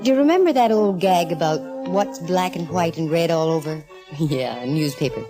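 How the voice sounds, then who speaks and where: A woman's voice: lowish voice, animated, normal speed, natural voice. An actress playing a breezy, sardonic, confident female private eye with an American accent, in 1940s radio detective style. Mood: wry, breezy. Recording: old 1940s radio recording, faint music.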